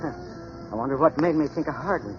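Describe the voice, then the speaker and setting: An actor playing a casual, slightly uneasy hospital staffer, musing colloquially in a 1930s radio drama. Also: male voice, fairly high pitch, expressive, fast talker, soft background music, lightly acted. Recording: muffled 1930s radio, faint noise.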